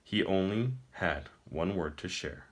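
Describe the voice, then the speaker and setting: A man reading a fantasy novel aloud in a measured, deliberate storytelling manner with emphatic phrasing. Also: low voice. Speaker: audiobook-style reader. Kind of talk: scripted dramatic narration of a fantasy novel.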